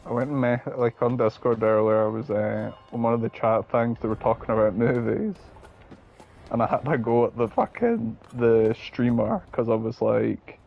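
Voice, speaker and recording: A man's voice: medium pitch, normal speed, gravelly, narrow, tinny audio. A young man with a Scottish accent chatting casually and telling rambling, sweary stories while he plays a game. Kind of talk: casual chat and storytelling while gaming.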